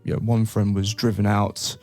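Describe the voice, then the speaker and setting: A man's voice: on the low side, a little slow, faint music behind. A young man, born in Brazil, chatting casually as a co-host and telling stories about his childhood. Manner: relaxed, thoughtful storytelling with restarts. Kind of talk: casual chat.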